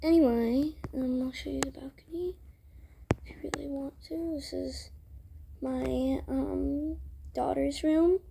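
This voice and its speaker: A woman with a high voice acts out an improvised roleplay story. The delivery is slow and halting, with a soft, mellow tone and pauses between phrases. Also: steady tone, gravelly, slight background noise. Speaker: video creator voicing all characters. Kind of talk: improvised character roleplay storytelling for a toy-world game video.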